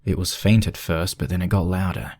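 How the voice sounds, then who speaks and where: A man's voice: sits low, brisk, darkish tone, husky. A man reading scripted narration for a spooky fan fiction story.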